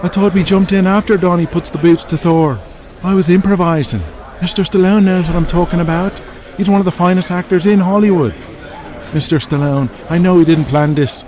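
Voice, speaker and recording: A man voicing an earnest, apologetic wrestler in an audio drama, pleading excitedly. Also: high-pitched, a little fast, pitched above the performer's own voice, lightly acted. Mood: flustered, apologetic. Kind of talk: audio drama character acting.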